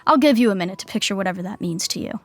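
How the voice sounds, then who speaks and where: A woman's voice: mid-range voice, animated, very fast, soft background music, natural voice. A woman playing the narrator of a sitcom podcast, wry and conversational, full of asides. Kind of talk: scripted comedic narration.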